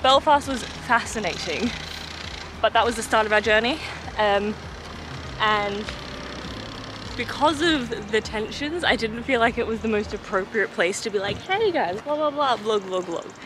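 A woman's voice: on the high side, a little slow, noisy background. Young woman travel vlogger, casual and chatty, self-mocking, camera at arm's length outdoors. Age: young adult. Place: outdoors by the coast and in town. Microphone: handheld camera at arm's length.

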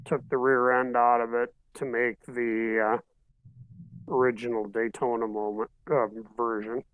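Relaxed middle-aged man on a video call. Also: mid-range voice, measured pace, clean tone, dry recording, poor audio. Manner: relaxed, matter-of-fact show-and-tell. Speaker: hobbyist showing 3D printed car bodies. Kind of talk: casual video call chat. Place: home living room, seated in armchair. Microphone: webcam on video call.

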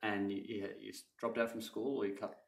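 Gravelly low-voiced host. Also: male voice, little pitch movement, a little fast, reverberant. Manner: supportive, frequent short backchannels. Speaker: podcast host. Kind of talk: interviewing, active listening. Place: bright studio room, indoors. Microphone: desk mic on stand.

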